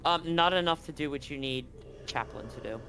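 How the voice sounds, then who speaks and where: A man narrating as game master in a tabletop game, relaxed and full of fillers. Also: on the high side, fairly quick, soft background music.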